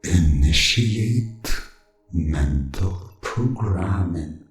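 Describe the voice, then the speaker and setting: A man's voice: sits low, animated, drawn-out words, faint music behind, heavily acted. A man voicing an artificial factory programming voice, cold and commanding, in formal, clipped announcements.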